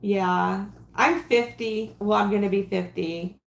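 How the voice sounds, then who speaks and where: A woman in her late forties chats her way through a crafting livestream, unhurried and rambling, full of ums and little giggles. Her mid-range voice carries an echo off the room around her. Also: medium pitch, steady tone, creaky. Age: 40s. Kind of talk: casual crafting livestream chat.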